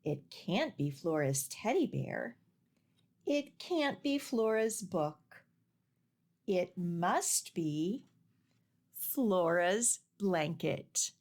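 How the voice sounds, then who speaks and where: A woman reading the part of a concerned, weary storybook dad. He fusses over his small child slowly, with very lively intonation. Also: lowish voice, exaggerated voice. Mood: puzzled.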